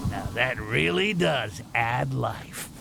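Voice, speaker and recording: A man voicing a cynical, sardonic goblin thief in a video game, muttering dry wisecracks to himself in a gravelly voice. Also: mid-range voice, animated, slow, over loud music, heavily acted. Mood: amused, sardonic. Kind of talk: video game character monologue. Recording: clear background noise, game ambience.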